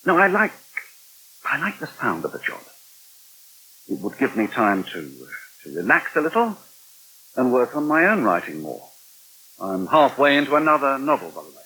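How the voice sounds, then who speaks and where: An actor playing a polite, hesitant but determined British journalist in a 1980 radio soap. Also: male voice, medium pitch, very animated, normal speed, long pauses, sharp tone, a little hiss or hum, poor audio. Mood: quietly determined.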